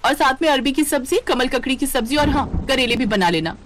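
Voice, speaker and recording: A woman's voice: sits high, lively intonation, a little fast, slight background noise, pitched above the performer's own voice. A woman voicing a pushy young sister-in-law in a family story, picky and insistent. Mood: picky, demanding.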